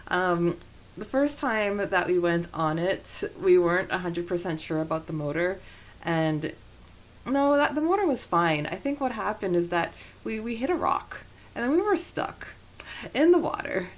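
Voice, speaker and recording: A woman with a voice a little on the low side speaks as a podcast host. She tells personal stories in a casual, informal way, slipping into quoted dialogue as she goes. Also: expressive, normal speed.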